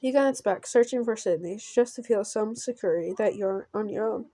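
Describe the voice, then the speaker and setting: A giggly woman reading a visual novel aloud with casual commentary, halting and stumbling over words. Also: mid-range voice, mellow, no echo. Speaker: gaming channel host.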